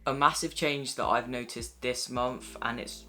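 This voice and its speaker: A young man of nineteen talks casually and cheerfully to his viewers. His tone is bright and lively, and he keeps dropping "like" between his words as he chats. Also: medium pitch, unhurried. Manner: chatty, upbeat, many "like" fillers. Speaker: vlogger. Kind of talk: casual talk to camera.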